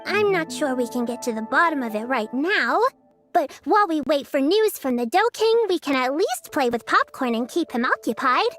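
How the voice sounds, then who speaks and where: A very high, lively little girl's voice for a game character. She is bossy and skeptical, putting on grown-up formality, with music playing behind her. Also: moderate pace. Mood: earnest, self-important. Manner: pretend-formal, stumbles over big words. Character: bossy young girl, skeptical, self-important little planner. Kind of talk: animated game dialogue.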